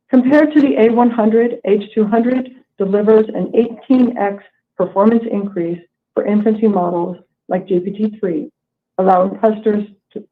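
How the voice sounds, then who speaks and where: Over a narrow, tinny phone line, a woman presents a corporate earnings report. She reads prepared remarks in a formal, measured way, as a company executive on a conference call. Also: medium pitch.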